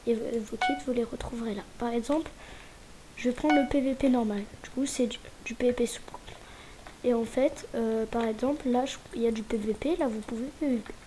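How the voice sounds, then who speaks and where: A woman's voice: fairly high pitch, fairly quick, background noise. A woman hosting a casual gameplay tour, a little stuffy from a cold, hesitant and restarting her sentences, often muttering asides under her breath. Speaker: gaming channel host.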